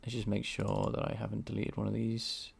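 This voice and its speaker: A man's voice: fairly low pitch, monotone, brisk, vocal fry, slightly rough. A man with a light Scouse accent, live-streaming game programming and chatting with viewers while he works. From England. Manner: thinks aloud, answers chat, enunciates carefully. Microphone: desk microphone.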